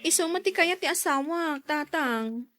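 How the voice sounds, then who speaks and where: A woman's voice: sits high, expressive, unhurried, a bit bright. A woman acting an affectionate, thrifty young wife who is easily surprised, in an Ilocano-accented radio drama. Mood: polite, questioning.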